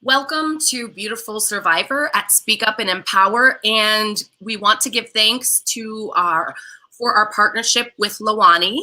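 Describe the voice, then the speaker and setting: A woman hosts an online talk show, opening with upbeat, welcoming introductions. Her voice is very bright and sharp. She speaks at an average pitch over a video call. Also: unhurried, low-quality recording.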